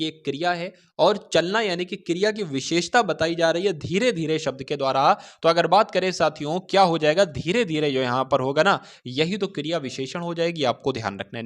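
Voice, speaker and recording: A man teaching an online exam-prep class, explaining step by step, putting questions to listeners and checking in with them. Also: a little high. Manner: instructive, repeatedly asks questions and answers them himself; addresses listeners directly with repeated check-in phrases. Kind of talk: Hindi grammar lesson for exam aspirants.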